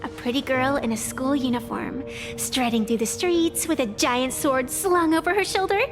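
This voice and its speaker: A woman's voice: high voice, normal speed, over music, close to an everyday voice. A woman playing a proud, playful young female arbiter in a video game, with a theatrical, boastful, lightly indignant manner.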